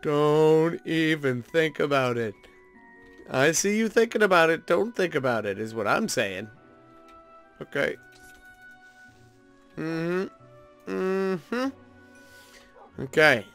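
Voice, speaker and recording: A man with a fairly high-pitched voice hosts a gaming video. He talks informally and thinks aloud as he plays, in a casual commentary style. Soft music sits under his voice. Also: animated, slow, long pauses. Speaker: host of a gaming video series.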